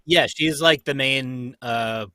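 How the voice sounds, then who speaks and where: A young man with a bright, forward voice and a middling pitch, recorded dry and close. He chats casually and retells things in an amused, informal way. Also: slow, no echo. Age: young adult. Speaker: panelist on a livestream. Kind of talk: casual chat about cartoons.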